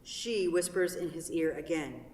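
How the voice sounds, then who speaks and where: A woman with a slightly low voice reads stage directions as scripted narration. She sounds distant from the microphone, in a strongly echoing hall. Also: unhurried, darkish tone, slight background noise. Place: theatre stage.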